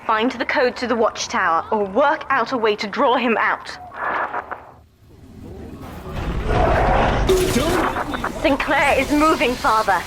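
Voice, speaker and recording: Earnest, higher-voiced young daughter played by an actress. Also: female voice, moderate pace, heavy background noise, close to an everyday voice. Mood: urgent. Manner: earnest, urgent, articulate. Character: devoted, determined young daughter guiding her father. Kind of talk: dramatic video game voice acting.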